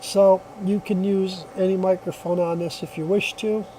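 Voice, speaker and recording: A man's voice: sits high, level tone, moderate pace, dark tone, a little hiss or hum, rough recording. A man giving a casual hands-on product demonstration, explaining step by step in a plainspoken way. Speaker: product reviewer.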